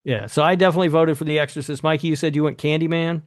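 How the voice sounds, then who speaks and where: A man's voice: on the high side, fairly even intonation, rapid-fire, no room sound, clean studio sound. A man hosting a podcast, chatting casually and announcing things in a hesitant, sweary way. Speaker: podcast host presenting awards.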